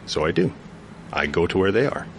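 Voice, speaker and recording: Deep-voiced man, earnest. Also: animated, brisk, slight background noise. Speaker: youth worker guest. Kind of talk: radio interview conversation. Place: radio studio.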